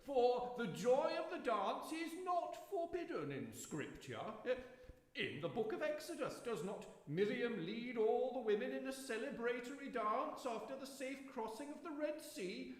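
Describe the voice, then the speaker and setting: A jolly, pompous clergyman declaims in a very high, slow voice. His delivery swoops theatrically, as in radio drama, and echoes round a hall. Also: very animated, full character voice. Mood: jovial, pompous. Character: jolly, pompous clergyman giving a rambling speech. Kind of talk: live radio drama acting. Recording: echoey hall with long reverb.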